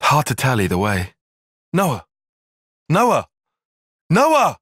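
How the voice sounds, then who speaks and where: An actor playing an earnest, bookish young soldier-tactician in a video game, speaking in measured, formal, analytical phrases. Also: male voice, mid-range voice, huge pitch swings, fairly bright tone, no room sound, clean studio sound, full character voice. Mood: calm, thoughtful, then alarmed, urgent. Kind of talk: video game cutscene voice acting.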